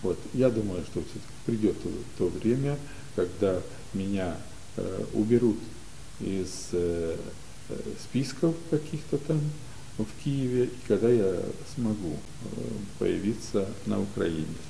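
An older man from Ukraine, telling stories as a guest in an interview, unhurried and full of pauses, recorded in a library studio. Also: lowish voice, lively intonation, creaky, mellow, slight background noise, rough recording. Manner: unhurried, many pauses and hesitations. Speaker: guest, community leader. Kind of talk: relaxed storytelling in an interview.

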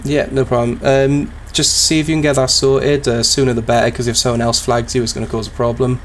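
A man with a slightly raspy voice and sore throat, calmly roleplaying a sheriff's deputy in an easygoing, polite way. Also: mid-range voice, brisk, slight background noise. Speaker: streamer playing a sheriff's deputy. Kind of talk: casual roleplay chat.

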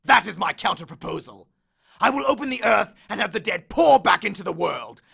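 A man's voice: sits high, sing-song, moderate pace, gravelly, pushed and bright, breathy edge, full character voice. A man voicing an angry, aggrieved male god of the dead who bellows complaints and threats in an audiobook. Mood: menacing. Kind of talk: audiobook character voice.

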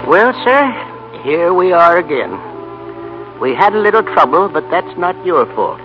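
Easygoing old grandpa, american, folksy, highish voice that moves around, old muffled radio play. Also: male voice, sing-song, a little slow, over music. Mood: calm, grateful. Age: older. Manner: homespun, plain-spoken. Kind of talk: 1930s radio play acting. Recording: dull vintage 1930s radio broadcast.

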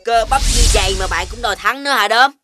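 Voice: medium pitch, quick pace, close to an everyday voice. A performer voicing a cocky but good-natured big-bellied child strongman with exaggerated bragging, in a children's cartoon with music underneath. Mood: mocking.

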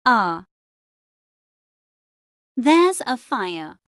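A woman's voice: on the high side, big pitch swings, extremely slow, fairly bright tone, dry recording, studio quality, heavily acted. A woman voicing a reluctant, whiny young girl in a game roleplay, in short, simple replies. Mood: alarmed. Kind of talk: scripted game roleplay dialogue.

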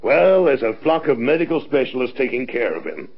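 A senior air force colonel in an old radio play, brisk and reassuring. His voice is husky and gravelly, with authority behind it. The recording is dull, vintage lo-fi radio. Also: mid-range voice, sing-song. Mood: measured, reassuring. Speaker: actor. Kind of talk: mid-century radio drama acting.

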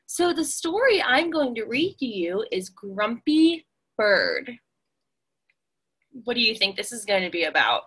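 A woman's voice: a little high, big pitch swings, measured pace, a bit bright, husky. A woman in her twenties reading a picture book aloud to young children, expressively and with questions for them.

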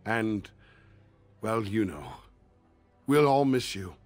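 Gentle middle-aged father in a fantasy game: deep-ish, slow, subdued, faint music beneath. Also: animated, dry recording. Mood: tender, wistful. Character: gentle, sad elf father of grown daughter. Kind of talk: video game voice acting.

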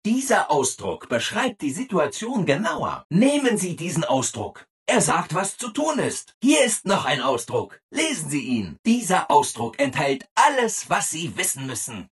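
Over-eager robot assistant, video game character, hugely swooping intonation, very husky rough-edged tone, relentlessly cheerful. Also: male voice, sits high, a bit bright, exaggerated voice. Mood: excited, helpful. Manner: chirpy, over-eager, exaggeratedly obliging.

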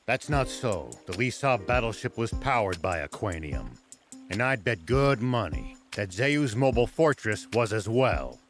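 Stern elderly male lead, video game. Deliberate and serious, fairly low voice, faint music underneath. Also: expressive. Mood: grave, certain. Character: stern, shrewd old male lawman. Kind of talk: English video game voice acting.

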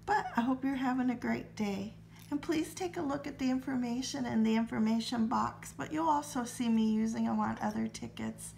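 A woman vlogging as she scratches lottery tickets, her throat a little scratchy, calmly reading out numbers in a friendly way. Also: a little high, moderate pace, vocal fry. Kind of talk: casual to-camera chat while scratching tickets.

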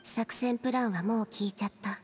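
A reserved teenage girl, voiced by a woman in an anime game, fairly high in pitch. She is deadpan, quiet and stubborn, with faint ambience behind her. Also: brisk, a little hiss or hum, lightly acted. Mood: hurt, determined. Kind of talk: Japanese anime game voice acting.

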